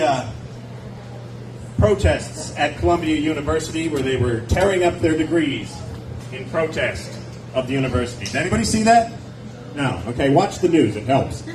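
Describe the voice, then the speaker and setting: Man in his forties, stand-up comic, sardonic, lively pitch, recorded from a distance in an echoey club. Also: background noise. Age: 40s. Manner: sardonic, wry asides, chatty with the audience. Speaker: stand-up comedian. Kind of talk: stand-up comedy set with crowd work. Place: nightclub stage, indoors. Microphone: handheld stage mic.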